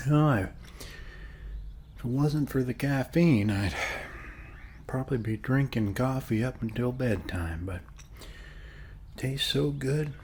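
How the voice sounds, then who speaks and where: A man speaking softly and unhurriedly in a friendly, casual ASMR roleplay, as a neighbor chatting one-on-one, with frequent pauses. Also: mid-range voice, a little hiss or hum. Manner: soft-spoken, friendly, unhurried, pausing often. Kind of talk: ASMR roleplay, one-sided casual chat over coffee.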